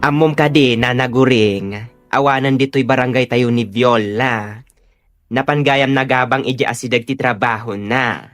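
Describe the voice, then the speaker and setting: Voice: medium pitch, normal speed, a bit bright, soft background music, natural voice. An actor of unclear sex playing a chatty village neighbour passing on gossip in a comedy audio drama. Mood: confiding.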